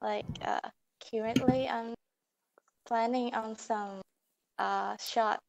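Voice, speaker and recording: A woman from Vietnam introducing herself on a video call, halting and full of fillers. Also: on the high side, fairly even intonation, creaky, noisy background. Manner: halting, many fillers, laughs softly. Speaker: illustrator, contest winner.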